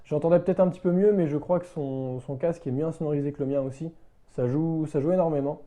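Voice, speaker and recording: A man giving a casual, unscripted product review as a motorbike vlogger, in a hesitant, halting way that circles back to rephrase. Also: on the high side, fairly even intonation, warm tone, slight background noise.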